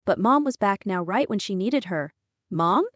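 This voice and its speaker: A woman's voice: mid-range voice, expressive, moderate pace, no echo, studio quality. A woman narrating a fantasy audiobook from a script, acting out some dialogue within it.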